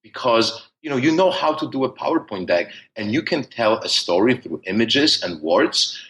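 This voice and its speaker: A man's voice: mid-range voice, expressive, normal speed, fairly bright tone, low-quality recording. A man with a thick Polish accent, talking at length as a podcast guest, with many restarts and fillers. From Poland. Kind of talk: conversational interview.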